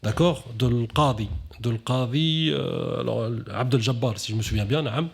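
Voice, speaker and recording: A man hosting a live talk, animated, who often drops Arabic religious phrases and lifts his voice to stress points. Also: normal speed, gravelly, slightly rough. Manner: animated, rising pitch when stressing points. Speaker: conference host. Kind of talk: conversational talk on stage.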